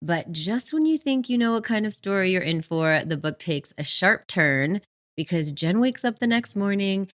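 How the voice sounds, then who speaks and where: A woman's voice: medium pitch, animated, normal speed. A woman co-hosting a book podcast, giving an enthusiastic, partly prepared review in a conversational way. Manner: enthusiastic, laughs easily.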